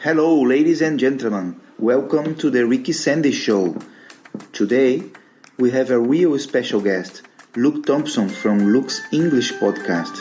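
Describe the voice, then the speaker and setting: A man's voice: medium pitch, expressive, a little slow, darkish tone. A man hosting a comedic mock talk show in a showy, grand presenter manner. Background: music.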